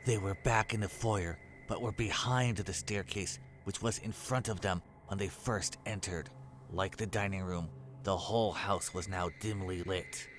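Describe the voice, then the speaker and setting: A man's voice: low voice, steady tone, a little slow, slight background noise. A man narrating a scripted horror story as its storyteller.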